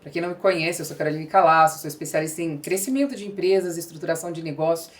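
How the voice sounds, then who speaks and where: A woman's voice: sits low, very fast. A middle-aged woman with a Brazilian accent hosting a podcast, explaining her views in a conversational way. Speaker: podcast host, business growth specialist.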